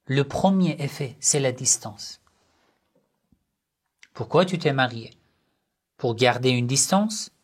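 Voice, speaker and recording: Slow, measured male religious teacher. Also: medium pitch, animated, husky. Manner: poses rhetorical questions and answers them; measured, short phrases with pauses. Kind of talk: slow religious lesson on family life.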